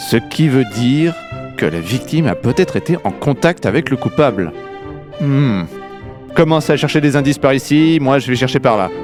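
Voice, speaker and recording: A man's voice: medium pitch, animated, normal speed, lightly acted. A man playing a squeamish, bumbling village police inspector in a comedic audio drama, speaking casually and hesitantly. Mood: casual, musing. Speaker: narrator and character in audio comedy. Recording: music under the voice.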